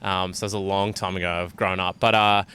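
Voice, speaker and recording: A man's voice: sits low, level tone, fairly quick, bright, forceful tone, slight background noise. A man answering a street interview with a hesitant, filler-heavy manner. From Australia.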